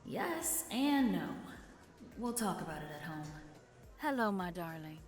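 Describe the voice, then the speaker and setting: A woman's voice: on the low side, big pitch swings, unhurried, faint music behind. A woman playing a poised, affectionate wife and mother who keeps a bookshop, with dry humour, in an audio drama. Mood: tired, affectionate.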